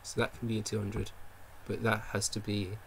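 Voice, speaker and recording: A man with a low, gravelly voice talks himself through his work at a desk microphone. He mutters, backtracks and second-guesses each step as he goes. A faint ambient hum sits under his voice. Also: monotone, normal speed, poor audio. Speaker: game developer streaming his work. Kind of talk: thinking aloud while working.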